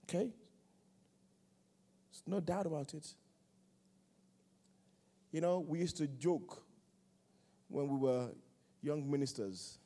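A male preacher delivers his sermon at an unhurried pace, repeating short phrases for emphasis. His voice is mellow and slightly husky, with a long reverb trailing each line through the church hall. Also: a little high. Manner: rhythmic repetition, call-and-response phrasing.

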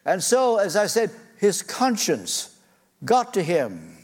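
A man's voice: sits high, wildly swooping pitch, takes it slowly. An older man preaching a sermon, telling a personal story in an earnest, conversational way with frequent fillers. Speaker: pastor. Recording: reverberant church hall.

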